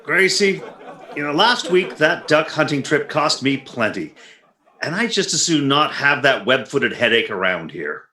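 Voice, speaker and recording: A man's voice: fairly high pitch, sing-song, measured pace, sharp tone, echoey room, exaggerated voice. A man playing an exasperated, grumbling husband with dry, sarcastic delivery in an old-time radio comedy. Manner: dry, sarcastic, put-upon.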